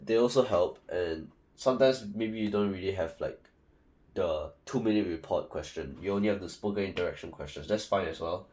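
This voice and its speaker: A man's voice: deep voice, fairly quick, darkish tone. A young man from Singapore with a Singaporean English accent, giving casual, rambling study advice full of fillers and restarts. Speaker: student tutor.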